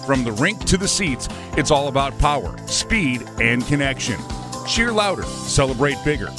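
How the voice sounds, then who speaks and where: A man's voice: medium pitch, big pitch swings, normal speed, music in the background. A man reading scripted promotional narration for a radio advertisement. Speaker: advertisement voice-over.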